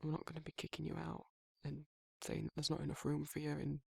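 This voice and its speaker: A protective male werewolf, acted by a man murmurs with a British accent in a close, very dry ASMR roleplay. The voice sits a little high for a man, yet it is thick with crackling fry. The delivery is halting and awkward, tender and shy. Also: monotone, no echo, exaggerated voice. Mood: earnest, reassuring. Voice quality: husky, gravelly, breathy. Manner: halting, hesitant, self-correcting, softly murmured. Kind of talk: intimate ASMR romantic roleplay.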